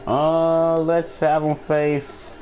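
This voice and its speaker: A man's voice: on the high side, monotone, drawn-out words, a little mellow, soft background music. A man chatting casually as he hosts a live gaming stream and commentates on the action, often repeating phrases for emphasis.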